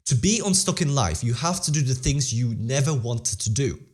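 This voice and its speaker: A man's voice: medium pitch, animated, moderate pace, a little mellow, slightly rough. Earnest teenage guy giving motivational advice. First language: Polish-English bilingual, English stronger. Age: teens. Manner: earnest, repeats phrases, restarts sentences. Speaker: interview guest. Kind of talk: casual motivational talk.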